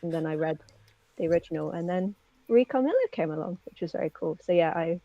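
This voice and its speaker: A woman's voice: on the low side, animated, fast, mellow, dry recording. A woman co-hosting an interview in casual, chatty style, heard on a lo-fi microphone. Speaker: interview co-host. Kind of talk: casual chat. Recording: dull lo-fi mic, dry.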